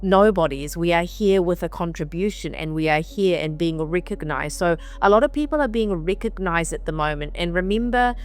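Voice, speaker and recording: Soft-spoken woman, slightly nasal and sniffly. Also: on the low side, expressive, normal speed, hardly any pauses, faint music behind. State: sniffly with allergies. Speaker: spiritual therapist hosting a weekly mantra episode. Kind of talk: casual spiritual talk. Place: on the road while traveling.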